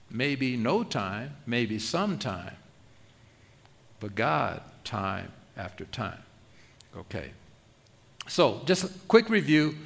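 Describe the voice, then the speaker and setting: A man's voice: mid-range voice, bright, forceful tone. Guy pastor giving a sermon, chatty, jokes at himself, keeps asking the crowd questions. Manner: conversational, self-deprecating, with rhetorical questions.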